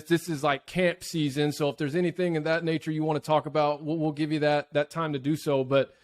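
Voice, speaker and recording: A man hosting a podcast, chatty and agreeable, asking questions in a relaxed way. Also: fairly high pitch. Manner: chatty, agreeable, many short backchannels. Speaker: podcast host. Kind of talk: casual interview chat.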